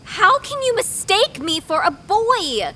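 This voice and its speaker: A woman's voice: very high voice, very animated, slow, pushed and bright, heavily acted. Bubbly cheeky girl, voice goes all over, some background noise, audio drama. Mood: teasing, indignant. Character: cheeky, bubbly, needling young girl sidekick.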